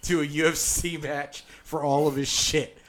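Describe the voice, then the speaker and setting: A man's voice: fairly high pitch, flat delivery, normal speed. Wisecracking male comedian co-host. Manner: wisecracking, laughing. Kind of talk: casual comedy podcast banter.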